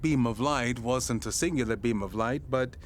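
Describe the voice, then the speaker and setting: An actor playing a genial, eccentric older radio station boss in an audio drama, speaking in a courtly, halting, wordy way. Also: male voice, medium pitch, slight background noise, lightly acted. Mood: solemn, courteous.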